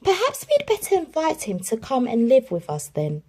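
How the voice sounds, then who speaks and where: A woman's voice: fairly high pitch, huge pitch swings, normal speed, mellow, pitched above the performer's own voice. A woman voicing a concerned young husband who owns the dogs, in a storybook read-aloud, anxious and questioning. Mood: fond.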